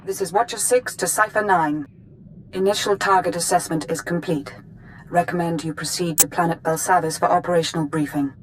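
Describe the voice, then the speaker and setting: An actress playing a formal, impersonal intelligence dispatcher in a video game, clipped and official. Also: female voice, lowish voice, fairly even intonation, fairly quick, slight background noise, lightly acted, poor audio. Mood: formal, efficient.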